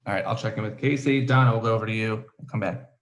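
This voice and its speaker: A man hosting an online game over a video call, leading introductions in a relaxed way with many fillers. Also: lowish voice, quick pace. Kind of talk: casual group introductions.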